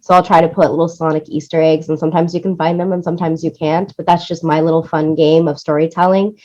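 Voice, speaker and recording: A woman's voice: low-pitched, flat delivery, quick pace, dark tone, highs cut off. A woman being interviewed about her work in production, talking in an enthusiastic, rambling way. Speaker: guest, music supervisor and producer.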